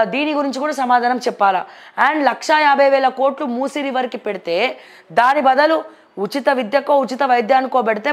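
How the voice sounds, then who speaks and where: A woman news presenter with a Telangana accent, reviewing the papers and commenting on politics in a conversational, blunt, questioning way. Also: high-pitched. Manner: blunt, questioning, addresses people directly.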